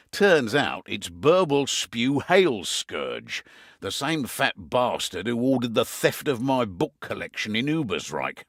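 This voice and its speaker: A gruff, middle-aged British innkeeper who runs a resistance from hiding. His delivery is lively and varied in pitch, with wry asides and a weary edge. It is a video game briefing, recorded very dry and close. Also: no room sound, full character voice. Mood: indignant, gruff.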